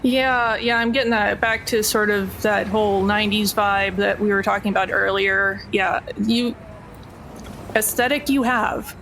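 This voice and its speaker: A woman's voice: medium pitch, fairly even intonation, unhurried, a bit bright, slight background noise, rough recording. A woman playing a wary, blunt and sardonic recruit in a tabletop roleplaying game. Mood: resigned.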